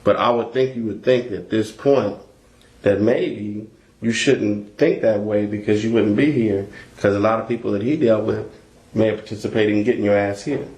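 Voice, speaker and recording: A man's voice: on the low side, steady tone, normal speed, darkish tone. A male police interrogator using informal, persuasive talk on a suspect. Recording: echoey interrogation room audio.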